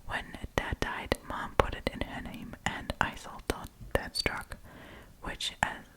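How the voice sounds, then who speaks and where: Casting: female ASMR storytime host, full whisper throughout, playful and casual delivery with slangy asides. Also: takes it slowly. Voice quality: breathy whisper.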